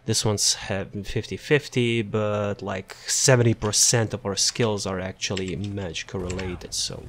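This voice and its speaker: A man casually walking through a game character build, relaxed and rambling, with frequent fillers and restarts. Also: fairly low pitch, measured pace. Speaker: gaming content creator. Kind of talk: casual build showcase commentary.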